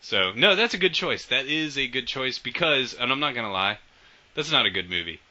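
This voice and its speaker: A man hosting a casual podcast, enthusiastic and swearing often, trailing off quietly at the ends of sentences. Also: medium pitch, animated, a little fast. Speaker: podcast host. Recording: dull lo-fi audio.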